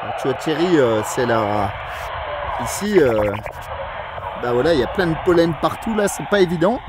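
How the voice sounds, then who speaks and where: High-voiced man chatting on the radio. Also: lively intonation, moderate pace, heavy background noise. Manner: friendly, rambling, repetitive. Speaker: amateur CB radio operator and video maker. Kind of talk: casual radio contact chat. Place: outdoors in a forest area, mobile radio.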